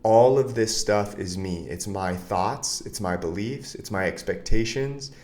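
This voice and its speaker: A man's voice: on the low side, measured pace. A man who coaches other men, telling his story as an interview guest in an earnest, candid way. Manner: earnest, candid, animated bursts.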